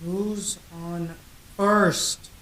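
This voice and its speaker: A woman's voice: on the low side, fairly even intonation, extremely slow, fairly bright tone, rough recording. A young woman in a student radio play, playing the matter-of-fact straight man of a comedy double act with unbudging answers. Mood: mildly impatient. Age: young adult. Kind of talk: comic double-act stage patter.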